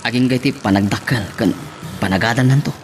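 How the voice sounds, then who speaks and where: Man as earnest lover, Filipino accent, average pitch, calm, reassuring, audio drama, slight background noise. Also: fairly quick, lightly acted. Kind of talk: amateur Ilocano audio drama acting.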